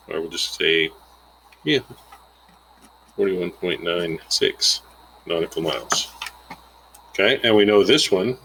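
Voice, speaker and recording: A man's voice: low voice, bright, forceful tone, slight background noise, poor audio. A man giving an informal, plainspoken lesson, explaining a worked example step by step and thinking aloud as he calculates. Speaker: instructor.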